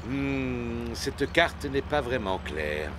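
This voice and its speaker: A man's voice: mid-range voice, sing-song, very slow. An actor playing a jolly, boastful cartoon father pig with a hearty, bumbling, self-assured manner. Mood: puzzled.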